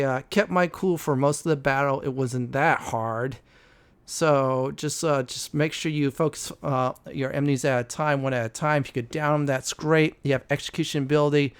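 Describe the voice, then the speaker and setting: A man hosting a casual video game walkthrough, often pausing mid-sentence before key words. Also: fairly high pitch, a bit bright, clear, resonant tone. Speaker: gaming video host.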